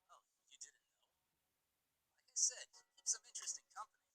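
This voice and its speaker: Guy doing a nosy smug hacker dude from a game, raspy whispery voice, teasing, pitch all over the place. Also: lively intonation, fairly quick, sharp tone, no echo, heavily acted, low-quality recording. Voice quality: breathy, raspy half-whisper. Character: smug, nosy young male hacker and conspiracy buff.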